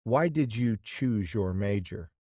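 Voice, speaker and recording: A man reads an interviewer's questions in a scripted practice dialogue. His voice is fairly low and his questions are plain and steady. The sound is dry and close. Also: slow pace, dry recording.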